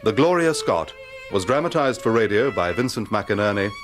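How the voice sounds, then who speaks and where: A man's voice: fairly low pitch, sing-song, normal speed. A man formally reading scripted closing credits as a radio drama announcer, in an orderly way. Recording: violin music behind voice. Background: solo violin music.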